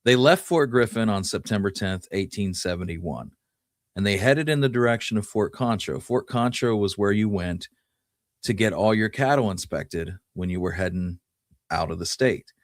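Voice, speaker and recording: A man's voice: on the low side, animated, normal speed, no room sound, clean studio sound. A man hosting a history show, telling Wild West stories in a casual, folksy, conversational way. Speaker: podcast host telling history.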